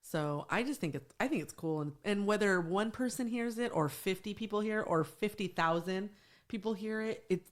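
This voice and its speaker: A woman's voice: medium pitch, moderate pace. A woman guest on a podcast, chatting casually and going off on tangents, laughing a lot. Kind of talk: casual chat. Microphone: studio podcast mic.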